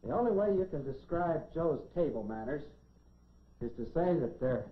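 A man's voice: a little high, lively intonation, normal speed. A middle-aged American man presenting a scripted 1950s educational science film in a folksy, dryly humorous manner. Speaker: science presenter in a lab coat. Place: laboratory set, indoors. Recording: dull 1950s film soundtrack, clearly noisy.

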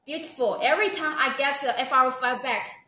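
A high, bright-voiced woman with a Chinese accent pitches products on a live stream, leaning on okay and wow between points. Her voice rings through a very echoey room. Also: a little fast. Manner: eager, repeats "okay" and "wow", non-native phrasing. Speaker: sales presenter.

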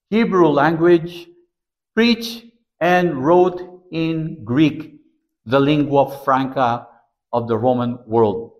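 A man in his sixties preaching a sermon from a pulpit in a small church, slow and deliberate, recorded by a camera across the room. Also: on the high side, poor audio. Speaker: pastor.

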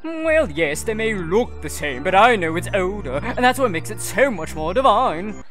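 A refined, vain fashionista pony, a man putting on her voice in a cartoon. She gushes in an affected, posh way with lively pitch, music playing behind. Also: normal speed. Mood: gushing, defensive. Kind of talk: cartoon fandub.

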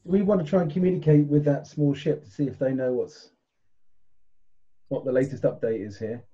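A man's voice: medium pitch, steady tone, moderate pace, mellow, slightly rough. A man chatting casually as a tabletop game player over a dull video-call connection.

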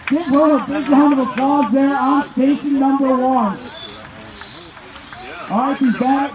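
A man giving loud, folksy, excited live sports commentary as an event announcer, hyping up the crowd. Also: very high voice. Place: outdoor festival event, heard over loudspeakers. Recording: echoey outdoor PA with crowd noise. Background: cheering crowd.

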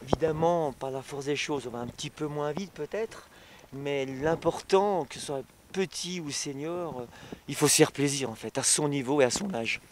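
Halting middle-aged ski instructor, outdoors. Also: male voice, on the high side, a little hiss or hum. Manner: halting, frequent "hein" and "évidemment" tags. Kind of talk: casual interview answers. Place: outdoors on a snowy ski slope. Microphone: handheld mic.

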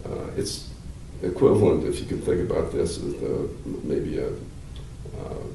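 An older man, a law professor, explaining at length in a measured, halting way with many fillers, seated in a wood-paneled room. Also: a bass voice, lively intonation, gravelly, mellow. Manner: gestures with hands while talking. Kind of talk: explanatory interview answers. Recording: roomy, slightly distant, faint hiss.

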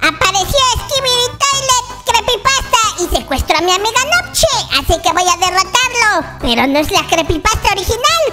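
Baby boy game character, extremely high childish voice, swooping intonation, dramatic, repetitive, prominent children's music behind. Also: lively intonation, moderate pace, few pauses. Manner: childish, exclamatory, repeats words, addresses viewers as friends. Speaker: gaming video host. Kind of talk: excitable children's Minecraft roleplay commentary. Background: loud playful children's music.

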